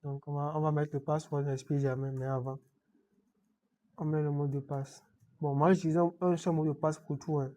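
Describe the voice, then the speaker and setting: A man with a head cold walks through a computer setup in an unscripted screencast tutorial. He stumbles and repeats words, pausing often to check in with the viewer. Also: on the high side, level tone, warm tone. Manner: halting, many repeated words and restarts. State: has a cold, clearing throat. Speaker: IT tutorial presenter.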